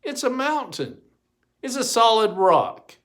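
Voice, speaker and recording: A man's voice: extremely high pitch, sing-song, slow, fairly bright tone, voice pushed up, exaggerated voice. A man voicing an exasperated, anxious small-town mayor in a storybook reading, with an indignant, hesitant manner.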